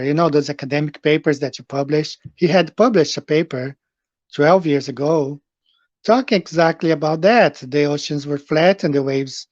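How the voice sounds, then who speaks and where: A man's voice: on the high side, no room sound, lo-fi sound. Middle-aged Brazilian man, rambling stream host. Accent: Brazilian Portuguese. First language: Portuguese. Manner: rambling, with frequent fillers and questions to viewers. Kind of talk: casual live stream chat with viewers. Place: home, in Japan.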